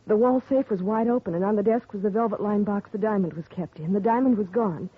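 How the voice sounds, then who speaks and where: An actress playing a grieving young woman whose father has been murdered, speaking softly and earnestly in a 1950s radio drama. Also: mid-range voice, fast talker, husky, close to an everyday voice. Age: young adult. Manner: soft and earnest, recounting quietly. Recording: tinny lo-fi 1950 radio broadcast with faint hiss.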